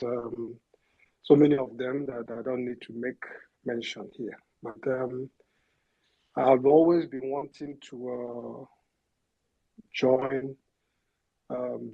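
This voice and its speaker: Hesitant Igbo man, slow and dark. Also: mid-range voice, gravelly, slightly rough, lo-fi sound. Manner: hesitant, many fillers. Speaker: caller. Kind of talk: call-in talk on an online audio space.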